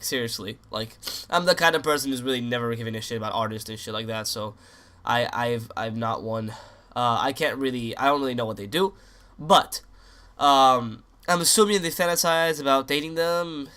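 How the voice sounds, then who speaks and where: A man's voice: medium pitch, fairly quick, sharp tone, clear, resonant tone. Sick young man rambling crudely. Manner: rambling, crude, joking, many restarts. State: sick, voice sounds off. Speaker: gaming commentator. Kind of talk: casual rambling commentary over gameplay.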